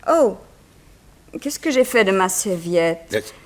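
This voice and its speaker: A woman's voice: medium pitch, lively intonation, takes it slowly. An actress playing a frail, grateful young English immigrant woman in a 1960s French radio serial, faint, hesitant and apologetic. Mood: flustered, embarrassed.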